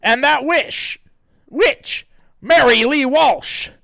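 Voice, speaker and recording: A chirpy male storybook narrator with a singsong announcer lilt, pitched high, as a man puts him on. It is recorded dry and close. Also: drawn-out words, vocal fry, sharp tone, no room sound, exaggerated voice. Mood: announcing.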